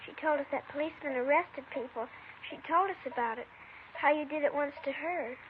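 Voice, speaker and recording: A woman's voice: high-pitched, a little fast, natural voice. A woman in 1950s radio acting voicing a frightened, defensive young girl who answers in a guarded, insistent way. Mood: plaintive. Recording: dull old radio broadcast with hiss.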